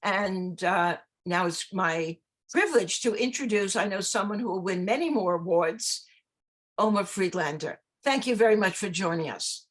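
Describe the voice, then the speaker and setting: A woman introducing an author at an online book event, reading quotes aloud in a measured way. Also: mid-range voice. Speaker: host. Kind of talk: event introduction on a video call.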